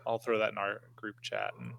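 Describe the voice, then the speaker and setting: A man's voice: on the low side, big pitch swings, brisk, creaky, fairly bright tone, no room sound. A man playing a tabletop game, talking casually with the others. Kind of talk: casual chat.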